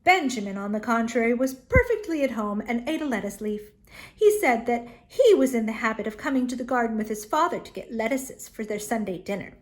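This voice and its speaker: Animated woman reading a children's story. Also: a little high. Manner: expressive, dramatic pauses and emphasis.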